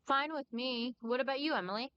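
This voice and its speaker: A woman's voice: sits high, a little fast, sharp tone, clean tone, no room sound, lo-fi sound. A woman streaming a video game, chatting casually and reading dialogue aloud over game music.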